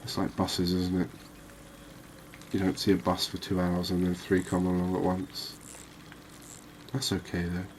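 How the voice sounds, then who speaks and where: A man giving relaxed, unhurried ASMR-style commentary on a video game, musing conversationally as he goes. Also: deep voice. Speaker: gaming video host. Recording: clearly noisy background ambience.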